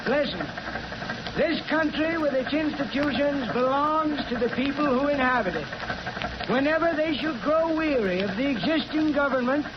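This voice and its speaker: An actor playing a cantankerous, folksy, argumentative small-town anarchist in a 1940s radio drama. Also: male voice, extremely high pitch. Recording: noisy muffled 1941 radio recording.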